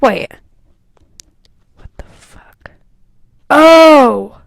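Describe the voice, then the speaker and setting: A young woman co-hosting a casual podcast, animated and sweary, with self-mocking asides. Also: sits high, extremely slow, creaky, no room sound, clean studio sound. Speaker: podcast co-host. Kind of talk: casual podcast chat, reading old fan fiction aloud with mocking commentary.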